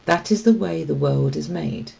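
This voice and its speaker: A woman's voice: low voice, moderate pace, gravelly, mellow, dull audio. A woman voicing a stern, wise old male cat who teaches emphatically, in a children's storybook reading. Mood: firm, teaching. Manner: emphatic, instructive. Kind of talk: children's storybook read aloud.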